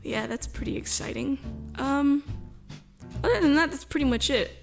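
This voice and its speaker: Young woman, slightly high voice, interview guest, casual chat, self-deprecating, giggly, background music. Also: moderate pace, vocal fry. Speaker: guest, amateur voice actor.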